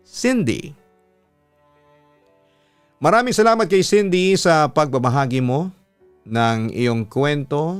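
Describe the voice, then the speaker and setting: A man with a Filipino accent, a radio storyteller, speaking in an earnest, measured way as he reads letters and gives advice. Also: medium pitch. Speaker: radio announcer and story-reading host. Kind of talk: narrating a listener's letter, then advice to the sender. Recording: music bed under the voice. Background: instrumental music.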